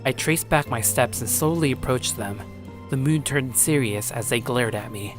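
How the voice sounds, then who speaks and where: A man acting as a blunt high school boy, narrating his own story and speaking dialogue in an earnest, tough way. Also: normal speed, music in the background, lightly acted. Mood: serious, determined. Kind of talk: first-person dramatic narration and dialogue.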